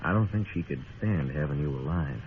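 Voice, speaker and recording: A man's voice: a bass voice, expressive, fairly quick, slight background noise. An American man playing a stern frontier marshal in an old radio western, telling his story in a laconic, hard-boiled way. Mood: calm, firm. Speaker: narrating lead actor. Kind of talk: old-time radio western acting with first-person narration. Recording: tinny old radio recording with music.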